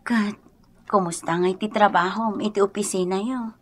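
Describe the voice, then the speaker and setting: Actress as a gentle, concerned wife. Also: female voice, mid-range voice, steady tone, moderate pace, natural voice. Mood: tender concern. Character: caring, gently worried wife. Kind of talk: Ilocano radio drama acting.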